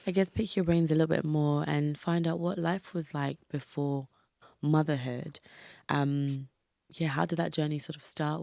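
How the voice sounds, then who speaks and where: Podcast host, woman, croaky with a cold, deep gravelly voice, encouraging. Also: dry recording, studio quality. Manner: warm encouraging listener, many yeahs. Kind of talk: casual interview chat.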